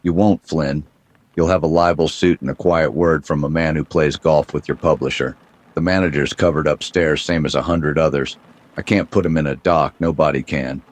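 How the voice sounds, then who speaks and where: A man narrating as a world-weary private detective, deadpan with dry wisecracks, in a 1930s-style noir radio drama. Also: rumbling low, normal speed.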